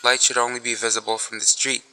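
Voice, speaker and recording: A man playing a businesslike, formal telephone voice in a radio thriller, speaking in a clipped, measured way. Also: mid-range voice, level tone, sharp tone, clean tone, poor audio. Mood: coolly conspiratorial. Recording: faint noise with clicks.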